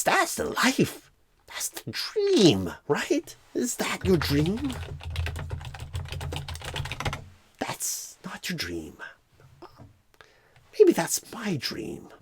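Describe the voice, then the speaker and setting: Clueless recruiter, played by a man, ASMR roleplay: slow, husky, rambling, odd pauses and stresses. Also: sits high, no room sound, heavily acted. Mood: musing, earnest. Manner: halting phrasing with odd pauses and swooping stresses.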